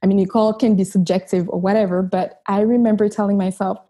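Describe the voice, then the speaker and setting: Earnest woman from Haiti, mellow husky voice. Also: medium pitch, flat delivery, fast. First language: Haitian Creole. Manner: earnest, reflective, with frequent restarts. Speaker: chiropractor, interview guest. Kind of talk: casual storytelling interview.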